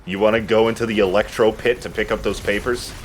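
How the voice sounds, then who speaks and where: A man's voice: on the low side, steady tone, fast, faint music behind. A man giving casual, joking commentary while he plays a video game. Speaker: let's play host playing the game.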